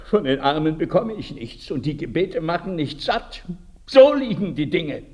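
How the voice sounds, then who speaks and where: An actor playing a guilt-ridden village priest in a radio drama, confessing slowly and accusing himself. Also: male voice, a little high, sing-song, a little mellow. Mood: remorseful. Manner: slow, confessional, rhetorical questioning.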